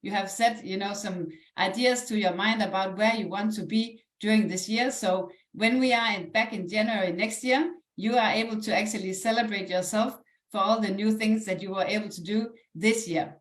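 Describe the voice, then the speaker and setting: A woman's voice: medium pitch, normal speed, few pauses. A woman with a Danish accent giving a live online motivational sales presentation in an earnest, encouraging, conversational way. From Denmark. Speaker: online presenter, network marketing ambassador. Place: indoors, echoey room, live stream. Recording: roomy, echoey live online call.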